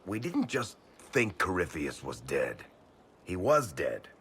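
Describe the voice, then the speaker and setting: Brief: world-weary fantasy storyteller, extremely deep voice, lively swings in pitch, wry and frank. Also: male voice, slow, slight background noise, exaggerated voice. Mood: uneasy, wry. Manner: casual, wry, emphatic storytelling. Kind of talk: video game voice acting.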